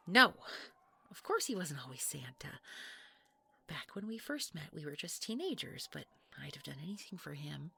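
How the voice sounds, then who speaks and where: Audio roleplay villainess, female performer: jealous, obsessive wife, sweetly menacing, very unhurried delivery, husky near-whisper, taunting. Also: lowish voice, bright, forceful tone, no echo, heavily acted. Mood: wistful, resentful. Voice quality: breathy, creaky, often near whisper. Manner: slow, sugary, taunting, breaking into giggles. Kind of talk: intimate scripted audio roleplay acting.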